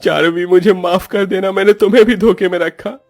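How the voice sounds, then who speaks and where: Man acting a high-pitched young husband. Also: steady tone, brisk, a little mellow. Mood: remorseful. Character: curt, resentful young husband in a cartoon story.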